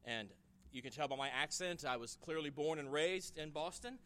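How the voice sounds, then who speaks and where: A man's voice: a little high, normal speed. A man from Memphis, preaching a conversational guest sermon with jokes and rising questions. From Memphis, Tennessee. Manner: conversational, joking, lively rises on questions. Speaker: guest preacher, church planter.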